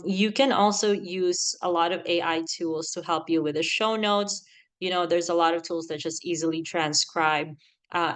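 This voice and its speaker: A woman in her thirties from the Philippines, with a Filipino accent that leans American, giving animated, chatty podcast advice and laughing often. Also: fairly low pitch. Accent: Filipino, with an American lilt. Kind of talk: casual advice talk.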